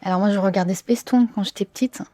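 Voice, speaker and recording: A woman's voice: medium pitch, quick pace, dark tone, no echo. A woman of twenty-nine from Syria with a Syrian Arabic accent, answering interview questions casually in a non-native language and often searching for words. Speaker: interviewee, theatre performer and film worker.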